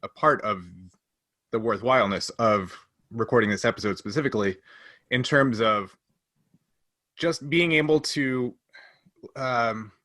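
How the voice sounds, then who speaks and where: A man with a bright, punchy voice of middling pitch speaks as a podcast co-host. He explains things earnestly in casual talk, with a dry, close sound. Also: a little slow, long pauses, no echo. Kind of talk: casual discussion.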